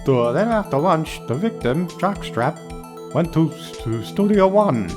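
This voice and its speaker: A man acts out a bumbling game detective. He stammers nervously and halts often, with a slow pace and jumpy pitch, over game music. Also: animated. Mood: nervous, flustered. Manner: halting, stuttering delivery. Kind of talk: video game fan dub.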